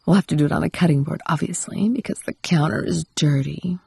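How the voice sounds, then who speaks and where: Possessive yandere housewife, played by a woman for ASMR roleplay: lowish, very breathy, whispery, sweetly coaxing and instructive. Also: brisk, dry recording, full character voice. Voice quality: breathy, often slipping into whisper. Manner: coaxing, doting, softly instructive. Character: possessive, doting yandere wife training her captive husband.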